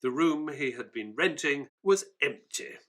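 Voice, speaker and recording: Polite, animated Victorian doctor. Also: male voice, on the high side, a little slow. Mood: narrating. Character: affable, polite Victorian doctor, detective's companion, narrating. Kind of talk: audio drama narration and dialogue.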